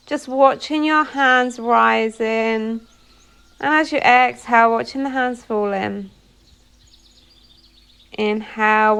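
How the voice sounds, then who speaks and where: A woman leading a guided meditation as a yoga nidra instructor, giving soothing instructions phrase by phrase with pauses. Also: fairly high pitch, creaky, fairly bright tone, smooth.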